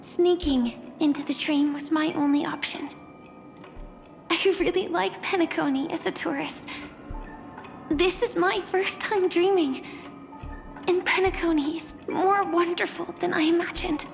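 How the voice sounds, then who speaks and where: A woman's voice: extremely high pitch, fairly even intonation, unhurried, creaky, heavily acted. An actress voicing a sweet, earnest and polite young woman in a video game. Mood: apologetic, sincere. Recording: reverberant, with music behind.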